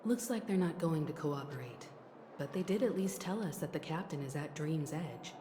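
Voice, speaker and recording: An actress playing a calm, level-headed young woman in a video game, courteous and diplomatic. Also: deep voice, moderate pace, noisy background. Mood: matter-of-fact. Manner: polite, diplomatic.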